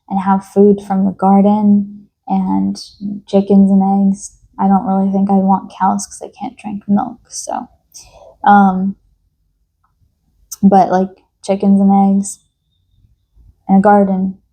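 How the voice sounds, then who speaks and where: A young woman from New York speaks to her audience in a soft, reflective monologue. Her voice is mellow and warm, sitting in the middle of the range for a woman. She hesitates often, trailing off and restarting as she thinks. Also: mid-range voice, gravelly, poor audio. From United States. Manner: thoughtful, hesitant, many fillers and restarts. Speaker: vlogger.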